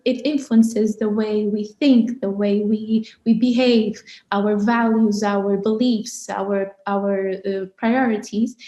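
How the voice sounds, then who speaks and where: A woman with a Portuguese accent presents like a university lecturer. Her voice sits a little high but has a soft, mellow tone. She moves haltingly, repeating words as she goes, and the sound is noticeably roomy. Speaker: university professor giving a conference presentation. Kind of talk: academic lecture.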